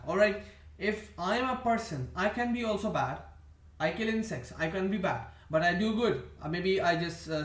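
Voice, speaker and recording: Indian man, high voice, meandering self-correcting vlog talk, roomy echo off-mic. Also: distant mic. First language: Indian languages. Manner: rambling, meandering, restarts and corrects himself often. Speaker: vlogger. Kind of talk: rambling monologue to camera.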